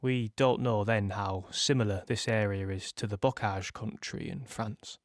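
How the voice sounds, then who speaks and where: An actor playing a young British tank gunner in an audio play monologue, plain-spoken and reflective, in short halting phrases. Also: male voice, fairly low pitch, fairly even intonation, no room sound. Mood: wistful, expectant.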